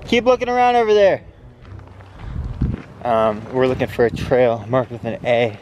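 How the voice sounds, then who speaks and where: A young man narrates a casual travel vlog to a camera held at arm's length. He speaks at a middle pitch, with a noisy outdoor background behind his voice. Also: sing-song, normal speed, a little mellow. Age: young adult. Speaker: vlogger. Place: outdoors in a mountain forest. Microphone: handheld camera at arm's length. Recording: noisy outdoor handheld recording.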